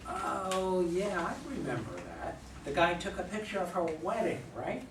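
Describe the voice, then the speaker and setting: A man's voice: sits high, big pitch swings, moderate pace. A man playing a dismissive, sarcastic husband who belittles his wife in a staged play reading. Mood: scoffing. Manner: mocking, belittling. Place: room at a live readers' theater reading. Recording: echoey room, distant mic, faint noise.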